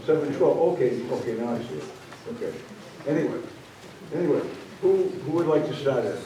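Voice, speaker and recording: A man telling a folksy story and moderating a town candidates night, with halting restarts. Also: medium pitch, expressive, dark tone, a little hiss or hum. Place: town meeting hall. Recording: very echoey hall, distant mic.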